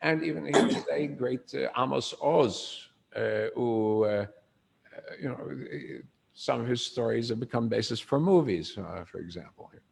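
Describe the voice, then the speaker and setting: An older man's gravelly, mid-pitched voice carries an informal lecture. He talks like a storyteller, puts questions to the room and then answers them. His emphasis jumps up and down from phrase to phrase. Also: animated, a little slow, darkish tone.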